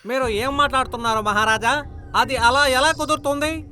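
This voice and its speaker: A man's voice: very high voice, steady tone, normal speed, pushed and bright, slight background noise, full character voice. A man voicing a puzzled, deferential cartoon monkey, respectful and questioning. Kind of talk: children's cartoon story dubbing. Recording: clear music bed behind the voice.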